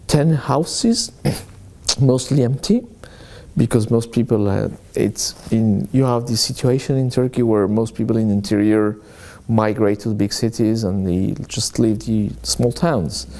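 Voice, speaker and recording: A man's voice: fairly low pitch, vocal fry, dark tone. A middle-aged man being interviewed, telling his experiences in a hesitant, reflective way with many fillers and restarts. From born in Aleppo, Syria. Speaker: journalist interviewee.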